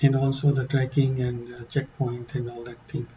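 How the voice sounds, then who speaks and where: A man with an accent walking through a software demo in a halting, casual way, with many fillers and restarts. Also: medium pitch, monotone, muffled recording, slight background noise. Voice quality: non-native English accent. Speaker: tutorial presenter. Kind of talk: casual screen-share walkthrough.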